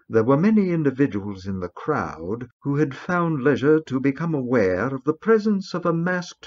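A man giving a theatrical, deliberate dramatic reading of a gothic horror story, with pauses for effect. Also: lowish voice, background noise. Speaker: narrator, story reader. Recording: slightly dull, lo-fi recording with music underneath. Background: music.

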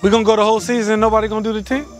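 A man's voice: high-pitched, level tone, racing, soft background music. A man in his thirties hosting a casual interview on a hiking trail, joking and teasing in slangy talk. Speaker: host.

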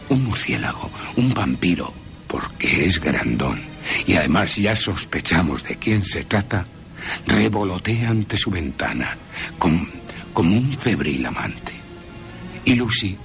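A man's voice: deep voice, creaky, fairly bright tone. A man with an accent from Spain narrating a story for radio in a wry, theatrical, dramatic manner. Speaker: narrator and presenter.

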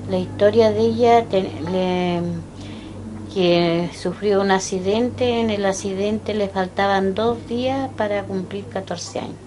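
An older Chilean woman recalling her life slowly and haltingly, pausing often to remember. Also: medium pitch, steady tone, noisy background. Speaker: interviewee. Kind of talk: personal recollection. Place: indoors, small room by a window.

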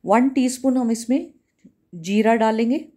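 A woman cooking-channel host, giving a calm, step-by-step recipe voice-over in a home kitchen. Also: fairly high pitch, a little slow. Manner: calm, instructive, repeats steps.

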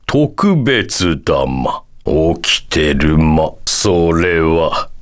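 Super slow deep old devil from a video game, solemn n pompous, weird little verbal tic. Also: male voice, lively intonation, heavily acted. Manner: slow, drawn-out, ends sentences with a quirky "ma" tic. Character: long-lived male devil, solemn, quaintly pompous town guardian. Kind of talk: Japanese video game character voice acting.

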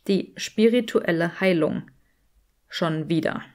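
A woman reading a story as an audiobook narrator, in an expressive scripted style. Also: on the low side, slow pace.

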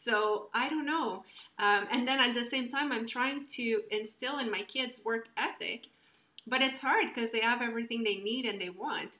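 Bright-voiced woman co-host on a muffled call. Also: fairly high pitch, moderate pace. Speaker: podcast co-host. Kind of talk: casual conversation. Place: indoor room on a video call. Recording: muffled, roomy video-call sound.

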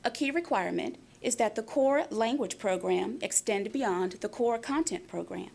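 A woman's voice: mid-range voice, lively intonation, moderate pace, creaky, a little mellow. A woman giving a scripted narration for a school division's program presentation.

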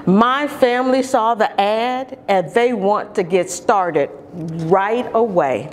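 A middle-aged woman reads a picture book aloud to young children, expressively and deliberately, drawing out key words, recorded indoors from a camera. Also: medium pitch, a little hiss or hum. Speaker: storytime reader for young children. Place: large carpeted indoor lobby or lounge.

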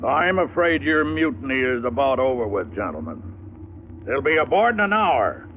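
An actor playing a mocking, menacing spaceship captain in the formal style of a 1950s radio drama. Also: male voice, medium pitch, big pitch swings, normal speed, close to an everyday voice. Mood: gloating, menacing. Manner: mocking, coolly threatening, formal. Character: sneering, menacing male spaceship captain facing mutiny. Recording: tinny, noisy old radio recording.

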